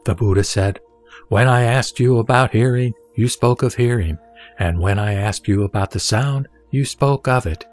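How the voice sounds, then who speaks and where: A man giving a formal, measured, solemn narration of scripture for an audio drama. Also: mid-range voice. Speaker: narrator and reciter. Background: music.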